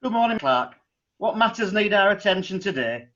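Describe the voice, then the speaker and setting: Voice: medium pitch, big pitch swings, moderate pace, a bit bright. Actor as an exasperated magistrate, radio drama, dull lo-fi sound. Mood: wry. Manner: wry, exasperated, colloquial. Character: wry, impatient magistrate.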